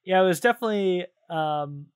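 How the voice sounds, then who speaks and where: A man hosting a gaming podcast, talking casually in a loose, halting conversational way. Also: high-pitched, clear, resonant tone. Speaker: podcast host.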